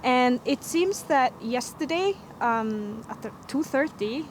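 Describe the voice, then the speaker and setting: High-voiced woman explaining magma. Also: lively intonation, a little hiss or hum. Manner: careful, halting, pauses with um. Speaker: scientist explaining volcanic activity. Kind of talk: explanatory interview answer.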